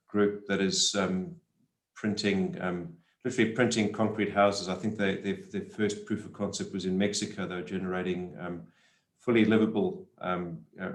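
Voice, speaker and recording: Male panelist from Zimbabwe, very low steady voice, searching delivery, roomy sound. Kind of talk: thoughtful panel discussion. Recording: echoey room on webinar connection.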